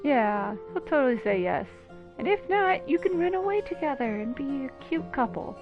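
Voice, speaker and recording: A woman's voice: high voice, sing-song, moderate pace, dull audio, music in the background. A woman giving casual, playful, teasing commentary over a game playthrough. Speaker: gaming video commentator.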